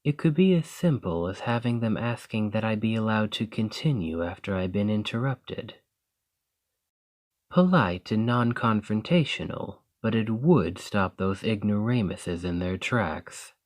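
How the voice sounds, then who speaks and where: A proud snake-bodied noble, formal and wry, speaking slow and low, as a man plays him. His words come unhurried and carefully measured, each phrase weighed before it is spoken. The voice sits close to the mic, as in an ASMR roleplay. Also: animated. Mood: thoughtful. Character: proud male lamia noble, discouraged and stiff.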